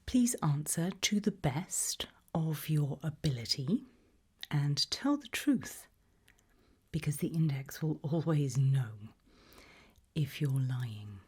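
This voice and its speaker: A woman softly whispering an ASMR roleplay, questioning the listener in a deliberate, gently ominous way. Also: deep voice, lively intonation. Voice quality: soft breathy whisper, mostly unvoiced.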